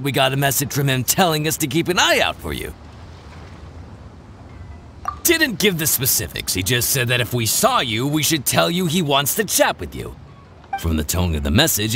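An actor playing a burly, easygoing first mate in a video game, jovial and folksy. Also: male voice, mid-range voice, gravelly, bright, forceful tone, a little hiss or hum, exaggerated voice. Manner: jovial, folksy, searching for words. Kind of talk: video game cutscene dialogue.

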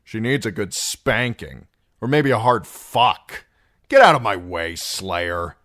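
A man's voice: low-pitched, takes it slowly, fairly bright tone. A narrator voicing a short-tempered, crude male singer who snaps with contempt. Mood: scornful.

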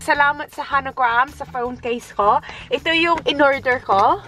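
A woman's voice: high voice, moderate pace, bright, forceful tone, rough recording. A young woman co-hosting a vlog. She chats casually in mixed Tagalog and English and patiently repeats words to explain them, into a camera held at arm's length. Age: young adult. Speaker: vlogger co-host. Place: living room, then a car, then a shop.

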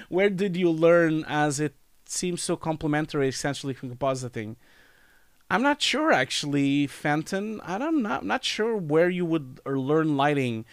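A man's voice: fairly high pitch, expressive, unhurried, no echo, clean studio sound. A man in his forties with a nasal, scratchy cold voice, hosting a casual live Q&A from a home study and reading chat questions aloud with many fillers. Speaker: live stream host answering chat. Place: home study with bookshelves, indoors. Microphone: studio mic on boom, wearing headphones.

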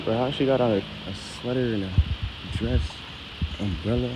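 A man's voice: mid-range voice, fairly even intonation, normal speed. A man vlogging as he walks through a park and temple grounds, joking and talking to himself. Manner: joking, talks to himself while walking. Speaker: travel vlogger. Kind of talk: casual vlog commentary. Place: outdoors on park paths and a temple grounds in hot weather. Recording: outdoor camera audio with background noise.

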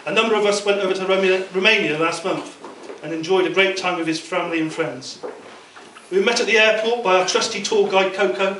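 A middle-aged man delivering a prepared, humorous best man speech at a wedding reception, telling anecdotes with measured pauses. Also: high voice. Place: indoor function room at a wedding reception. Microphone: handheld mic through a PA speaker, filmed from across the room. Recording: distant, echoey, very noisy room recording. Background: loud room noise and guests.

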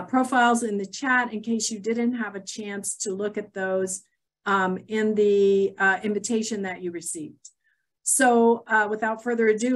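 Measured older woman moderating a video call. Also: mid-range voice. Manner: measured, with "uh" fillers. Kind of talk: moderating a virtual panel. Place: office, indoors.

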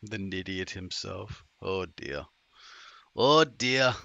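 A man's voice: deep voice, slow, creaky, bright, forceful tone, dry recording, dull audio. A man in his thirties coaching on a stream, reviewing a player's replay with sarcastic, profane jokes, talking into a headset at home. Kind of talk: live-streamed replay commentary.

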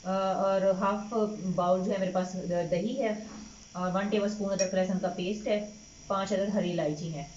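A woman cooking-channel host explaining a home recipe step by step in a calm, unhurried way with frequent fillers. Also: medium pitch, highs cut off, a little hiss or hum. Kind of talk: recipe instruction over cooking footage. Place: home kitchen.

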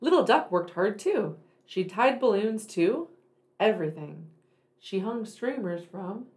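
A woman reads a storybook aloud to young children. Her intonation moves widely up and down at a medium pitch, and she leans on key words with dramatic, emphatic phrasing. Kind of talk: expressive picture-book reading.

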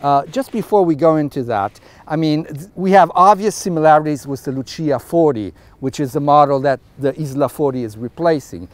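A middle-aged man hosting a boat review interview outdoors on a catamaran deck, talking in a relaxed, chatty way with many fillers and a lapel mic. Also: on the high side, fairly quick, no room sound.

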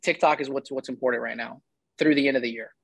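A man's voice: mid-range voice, steady tone, fast, creaky, a bit bright, slightly rough, dry recording. A man in his thirties giving casual, emphatic and blunt advice as a coach on a one-on-one video call. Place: indoor room, video call.

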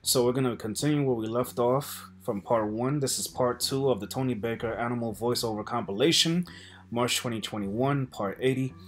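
A man hosts a reaction video in a casual, colloquial way. His voice sits at an ordinary middle pitch. He addresses the viewers directly and informally. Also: normal speed. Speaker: host of a reaction channel. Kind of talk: casual vlog commentary. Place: indoor room at a computer.

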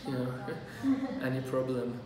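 A Syrian Armenian man in his thirties answers interview questions in halting, second-language English, often pausing to search for a word. He is recorded from across a small, echoey cafe, and the voice carries a long room reverb. Steady chatter from other people runs behind him. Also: medium pitch, distant mic. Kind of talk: casual oral-history interview.

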